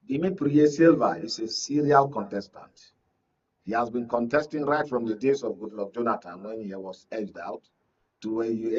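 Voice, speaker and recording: Husky Nigerian man, emphatic political analyst. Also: medium pitch. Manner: emphatic, repeats points, checks listener follows. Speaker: media analyst and guest. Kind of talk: political analysis in a studio discussion. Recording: muffled, distant mic, lo-fi sound.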